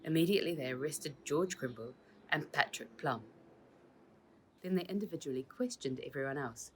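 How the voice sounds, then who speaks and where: A woman's voice: deep voice, expressive, moderate pace, slight background noise. A woman reading her own children's novel aloud as scripted narration, acting out the dialogue expressively.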